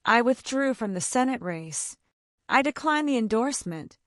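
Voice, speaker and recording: A woman reading the lines of a desperate, exhausted young man, in an urgent, low confessional tone. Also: lively intonation, normal speed, fairly bright tone, no room sound, clean studio sound.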